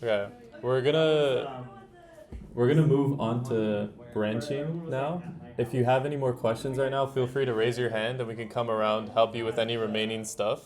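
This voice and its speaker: A man leading an informal hands-on tutorial, explaining steps in a casual, halting way as he thinks aloud. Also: medium pitch, steady tone, a little mellow. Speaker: workshop instructor. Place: indoor workshop room. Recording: noisy room with keyboard typing. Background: keyboard typing, room noise.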